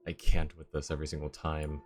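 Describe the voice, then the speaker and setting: Male game streamer, low-pitched, chatty and casual, faint music underneath. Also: completely flat delivery, quick pace, darkish tone. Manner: chatty, talking to viewers and himself. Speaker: live streamer. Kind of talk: casual gameplay stream commentary.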